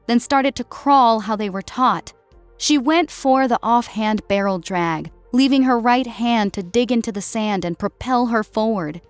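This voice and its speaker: A woman's voice: a little high, no echo. A woman narrator reading a scripted, dramatic story as an audiobook.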